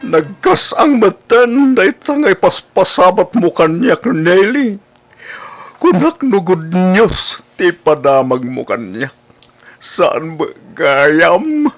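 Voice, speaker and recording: A man's voice: sits high, big pitch swings, takes it slowly, faint music behind, full character voice. A man acting a lovelorn, self-sacrificing young suitor in an Ilocano radio comedy drama, with an Ilocano accent. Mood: hurt, tearful.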